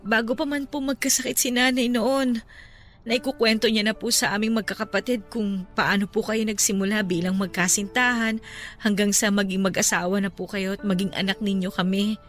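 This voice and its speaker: An actress playing a caring young-adult daughter in a radio drama, talking softly and respectfully to console her father. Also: mid-range voice, fairly even intonation, normal speed, faint music behind, natural voice. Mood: gentle.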